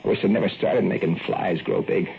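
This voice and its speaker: An actor playing a gruff, secretive small-town handyman who speaks tersely in a gravelly rural voice, in an old radio drama. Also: low-pitched, fairly quick. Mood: uneasy. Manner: terse, hushed, rural dialect. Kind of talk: 1940s radio acting. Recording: tinny old radio broadcast, faint music.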